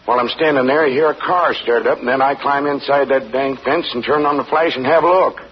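A hesitant country neighbor stumbles through bad news, as an actor plays him. The old broadcast sound is muffled, with light hiss. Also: male voice, mid-range voice, fairly quick, natural voice. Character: hesitant rural farmhand neighbor. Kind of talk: 1940s radio acting. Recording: dull old radio broadcast, faint hiss.

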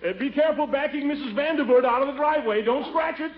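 An actor playing a flustered, blustering, soft-hearted middle-aged host in 1940s radio comedy, sputtering and exclaiming in exasperation. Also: male voice, very high-pitched, quick pace, very noisy. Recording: muffled old radio recording.

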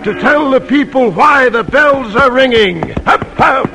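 An older man playing a spirited, determined colonial American father in a 1950s radio drama, declaiming emphatically in a husky voice on an old broadcast recording. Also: very high-pitched, expressive, unhurried, over music, full character voice. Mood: triumphant, excited.

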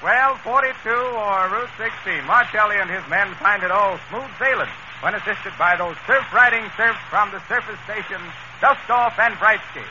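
A man's voice: high voice, moderate pace, very noisy. A man announcing a 1930s radio show with a florid, theatrical showman delivery. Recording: muffled old radio broadcast over music. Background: music.

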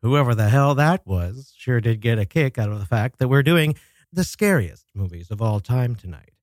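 A man's voice: medium pitch, lively intonation, moderate pace, a little mellow, no echo. Dramatic, chatty male podcast host, close and dry. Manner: dramatic storytelling with asides and swearing. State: coming down with a cold. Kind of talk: casual solo talk show about horror films.

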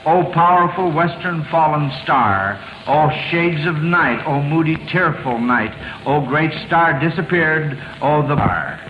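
Higher-voiced old-time radio quizmaster man. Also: expressive, a little slow, hardly any pauses. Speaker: quiz show host. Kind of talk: 1940s radio quiz show hosting. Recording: noisy, muffled vintage radio broadcast.

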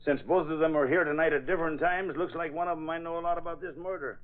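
Police sergeant, male actor, gruff and bossy, slightly raised pitch, classic radio serial, thin old sound. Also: steady tone, quick pace, lightly acted. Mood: stern questioning. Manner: brusque, commanding. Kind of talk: old-time radio drama acting. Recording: tinny band-limited vintage radio recording.

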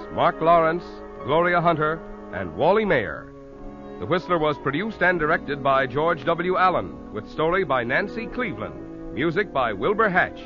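A male announcer doing 1940s radio sponsor announcements in a polished, emphatic style. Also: fairly high pitch. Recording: dull old 1940s radio recording.

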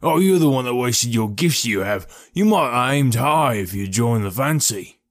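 A man voicing a gruff, stubborn ex-prizefighter porter with blunt, rough working-class speech in an audiobook. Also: mid-range voice, lively intonation, moderate pace, natural voice. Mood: hearty amazement. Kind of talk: audiobook character voice.

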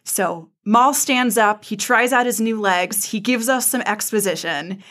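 A woman co-hosting a podcast, giving a casual story recap with expressive, wry fan commentary. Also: mid-range voice, unhurried. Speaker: podcast co-host.

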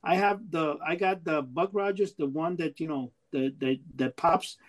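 A middle-aged man from Cuba chatting casually and excitably, often repeating his words. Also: a little high, normal speed. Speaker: toy and memorabilia collector. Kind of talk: casual chat.

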